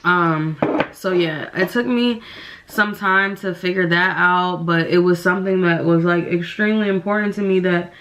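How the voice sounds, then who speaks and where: A woman's voice: lowish voice, moderate pace, fairly bright tone, slight background noise. A young woman, a bit nasal from the flu, chatting in a casual get-ready-with-me vlog at home and rambling with lots of fillers. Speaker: beauty vlogger. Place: indoors at home, getting ready.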